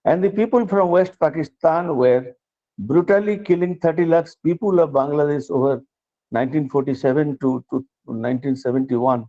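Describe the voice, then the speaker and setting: Older Indian man, mellow voice, earnest. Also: fairly high pitch, lo-fi sound. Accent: Indian English. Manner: earnest, measured speech with frequent restarts. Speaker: award recipient giving acceptance speech. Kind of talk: formal speech.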